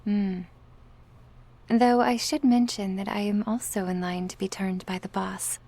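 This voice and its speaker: A woman playing a politely teasing, smug young recruit of a crime syndicate, soft and breathy in an ASMR roleplay. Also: medium pitch, flat delivery, measured pace. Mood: falsely meek.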